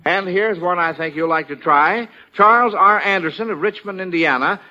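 A man's voice: on the high side, pushed and bright, highs cut off. An American man hosting a 1940s radio quiz show in a folksy, exclamatory manner, on an old recording.

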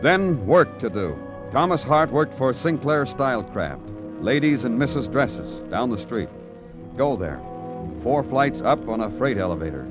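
A man's voice: mid-range voice, sing-song, measured pace, poor audio. An actor playing a world-weary, poetic big-city police detective who narrates his case in florid, brooding radio noir style. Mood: somber. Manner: brooding, florid, dramatic phrasing. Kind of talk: 1940s-50s radio noir acting and narration. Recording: vintage radio recording with music.

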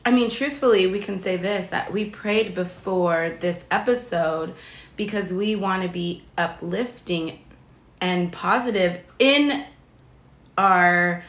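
A woman co-hosting a casual podcast, with a slightly raspy, deeper voice. She chats loosely, leans on "like" a lot and laughs easily. The sound is roomy and echoey. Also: takes it slowly, fairly bright tone. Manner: chatty, many "like" fillers, laughs easily. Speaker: podcast co-host. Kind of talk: casual chat.

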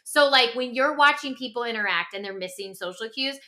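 Bright, high-pitched woman chatting emphatically. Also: fairly quick. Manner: emphatic, checks in often with "okay?" and "right?"; acts out imagined reactions in quoted voices. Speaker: podcast co-host. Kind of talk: animated conversational podcast talk.

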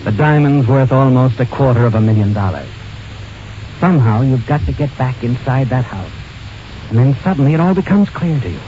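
A man's voice: mid-range voice, expressive, warm tone, a little hiss or hum, close to an everyday voice. Actor as insinuating vintage radio suspense narrator, male, dull recording. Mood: tense, insinuating. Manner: taunting, deliberate, dramatic. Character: knowing, insinuating male storyteller addressing the culprit. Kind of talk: 1940s-50s radio suspense narration in second person.

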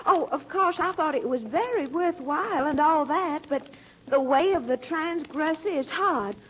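A woman's voice: high-pitched, unhurried. A woman playing a coy, bossy lady friend, gushing and coaxing in a 1940s radio comedy. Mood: coaxing, piqued. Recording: dull, noisy vintage radio recording.

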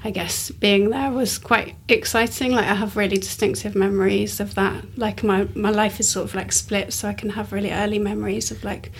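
Husky woman in her forties. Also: medium pitch, fairly even intonation, moderate pace, hardly any pauses. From born in Canada, raised in northern England. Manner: rambling, fills talk with "like". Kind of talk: casual interview chat.

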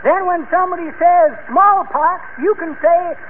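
An actor playing a cheery, stubborn old-timer with a folksy American drawl in a 1940s radio comedy. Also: male voice, very high-pitched, normal speed. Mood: jovial, folksy. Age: older. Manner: folksy, rustic drawl with homespun phrasing. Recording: tinny, noisy vintage radio recording.

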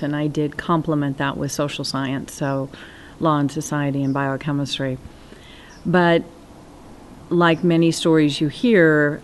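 A woman in cybersecurity speaking firmly and deliberately about finding her voice in a conference interview. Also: deep voice, slight background noise. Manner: firm, measured, declarative. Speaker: cybersecurity professional.

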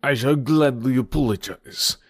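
Man reading as gentleman accuser, insistent, formal, self-righteous, pressing, audiobook character, dry recording. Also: mid-range voice, a little slow, slightly rough, no room sound, voice pushed up. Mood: insistent, accusing.

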